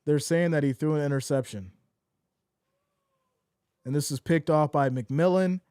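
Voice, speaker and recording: A man doing live fan play-by-play of a football game, excitable and losing his voice, swinging from shouts to deflated mutters. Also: a little high, a little slow, smooth, no room sound, clean studio sound.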